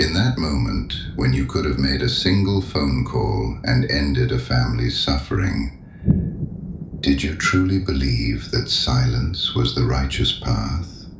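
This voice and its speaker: A man's voice: vocal fry, echoey room, full character voice. An actor playing a courteous, uncanny male penitent who probes a priest's old sins in an audio drama. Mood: mocking. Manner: slow, silky, mocking, very deep. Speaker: mysterious penitent.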